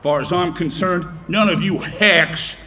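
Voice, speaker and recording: An actor playing a brash, tough air force commander with a commanding, profane swagger in an audio drama. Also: male voice, sits high, a little slow, pushed and bright. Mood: swaggering, stern. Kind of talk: audio drama military speech.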